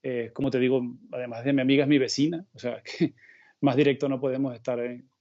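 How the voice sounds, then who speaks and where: A middle-aged Venezuelan man talking as an interview guest in a relaxed, meandering way, thinking aloud mid-sentence. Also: mid-range voice, quick pace, dull audio. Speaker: guest illustrator. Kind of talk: casual conversational interview. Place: remote call from home abroad.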